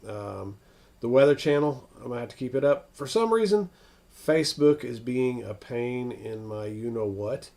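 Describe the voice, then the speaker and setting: An American man hosting a casual, unscripted weather livestream, thinking aloud in a halting way as he answers viewers. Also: medium pitch, animated, creaky, mellow. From northern United States.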